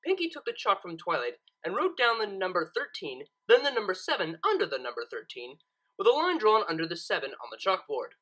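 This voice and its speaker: A woman reads a story aloud in a bright, crisp-edged tone. Her narration is expressive, with pitch that moves widely from phrase to phrase. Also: a little fast, low-quality recording. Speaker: fan fiction reader and narrator.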